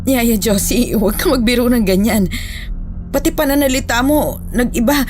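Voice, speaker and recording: A woman's voice: on the high side, moderate pace, a little mellow, faint music behind, close to an everyday voice. A woman playing a frightened, bewildered Filipino tutor in a horror audio drama, speaking anxiously. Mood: baffled, uneasy. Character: frightened, bewildered female tutor trapped in a haunted house.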